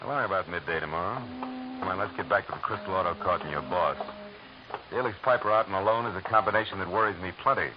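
Tough radio gumshoe, fast and clipped, played by a man, muffled noisy vintage broadcast. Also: low-pitched, lightly acted. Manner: clipped, dry, wry narration. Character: hard-boiled male private eye, terse. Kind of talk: 1940s radio drama acting.

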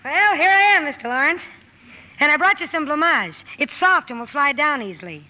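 A woman playing an exuberant, blunt, warm-hearted teenage tomboy in old radio drama style, brisk and full of exclamations. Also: high-pitched, expressive, in a large hall, full character voice, poor audio. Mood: exuberant, friendly. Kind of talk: 1940s radio drama acting. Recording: hissy old 1950 radio broadcast.

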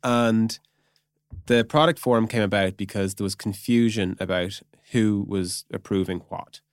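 A man's voice: on the low side, a little slow, clean tone, no room sound, studio quality. A man speaking as a podcast guest, explaining things in an informal, chatty way with lots of conversational filler phrases. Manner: informal, peppers speech with "like" and "you know". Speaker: podcast guest, product executive. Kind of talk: casual explanatory chat.

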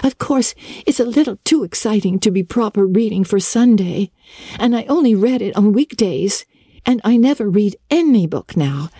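A woman's voice: mid-range voice, mellow, no room sound, clean studio sound, close to an everyday voice. A woman voicing an earnest, talkative thirteen-year-old girl in a dramatic storybook reading, with breathless, solemnly emphatic delivery. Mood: earnest, gushing.

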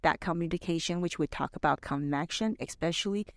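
A woman's voice: low voice, fairly quick, no echo, studio quality. A middle-aged woman who grew up in Canada, Mandarin her first language, telling stories as a podcast co-host, rambling with many fillers. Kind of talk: casual podcast chat.

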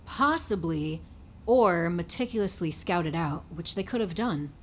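A woman playing a brisk, technically minded government agent in an audio drama, speaking matter-of-factly. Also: lowish voice, animated, slight background noise. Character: brisk, matter-of-fact female tech-savvy agent Rainey.

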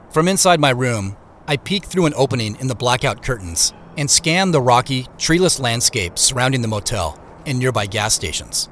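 A man's voice: medium pitch, big pitch swings, moderate pace, fairly bright tone, slight background noise. An actor playing an introspective male scientist in a first-person audio drama, moving between narration and dialogue. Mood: matter-of-fact, reflective.

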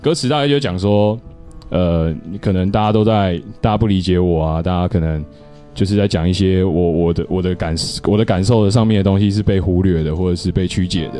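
A man's voice: deep voice, fairly quick, dark tone, faint music behind. A man co-hosting a casual radio chat, agreeable and often repeating short phrases.

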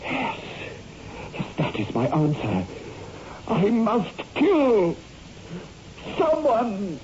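Anguished, slow-spoken doctor, muffled recording. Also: male voice, high voice, huge pitch swings, exaggerated voice. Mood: anguished, desperate. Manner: halting, anguished, melodramatic. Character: tormented married doctor driven toward murder. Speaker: radio drama actor. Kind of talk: 1960s radio horror acting. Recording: muffled, noisy old radio recording.

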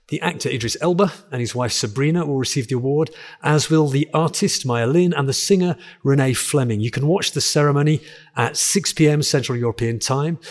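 Measured male radio host. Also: mid-range voice. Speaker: podcast host. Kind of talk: radio interview and scripted intro.

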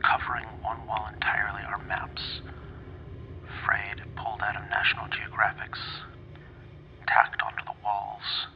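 A man playing an introspective narrator in audio fiction, speaking in a hushed, deliberate, creaky whisper. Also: on the low side, completely flat delivery, highs cut off. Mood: pensive. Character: introspective man searching memory, describing a sparse room.

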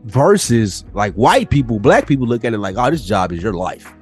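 A man's voice: medium pitch, big pitch swings, moderate pace, breathy edge, soft background music. A man co-hosting a podcast in casual conversation, slangy and profane, giving his opinions freely. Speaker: podcast co-host.

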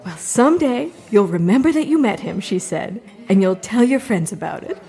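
A woman's voice: mid-range voice, lively intonation, normal speed, warm tone, soft background music, lightly acted. Chatty, wry young woman played by an actress. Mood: animated, storytelling. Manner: casual, wry, confiding. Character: chatty, self-assured young woman performing a monologue. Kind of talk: conversational open mic storytelling in audio drama.

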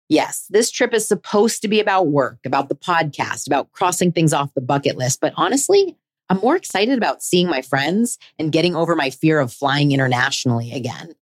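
A woman's voice: deep voice, no room sound. A woman in her forties hosting a podcast, telling her own dating story in a candid, chatty, self-deprecating way. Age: 40s. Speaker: podcast host. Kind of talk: scripted personal narration.

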